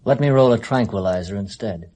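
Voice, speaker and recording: An actor playing a cool, emotionally detached young man in a 1970s radio drama, replying in flat, clipped, unmoved lines. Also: fairly low pitch, brisk, natural voice.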